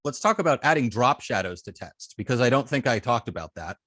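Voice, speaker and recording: A man working as an instructor, explaining a live coding demonstration step by step in an informal, halting way, thinking aloud as he works. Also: medium pitch, sing-song, fairly bright tone. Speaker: web development instructor.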